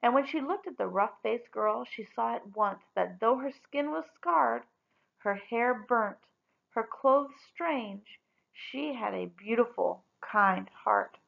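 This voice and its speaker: Creaky-voiced woman reading a story aloud. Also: a little high, expressive, slow pace, lo-fi sound. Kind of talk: expressive read-aloud storytelling.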